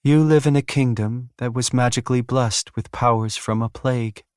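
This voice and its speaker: A man voicing Death as a calm, aloof woman in a fantasy audiobook, speaking plainly and with restraint. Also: mid-range voice, clean tone, no echo, clean studio sound, voice pushed up, natural voice. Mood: plainly reminding. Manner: plain, measured, subdued. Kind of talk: fantasy romance audiobook character.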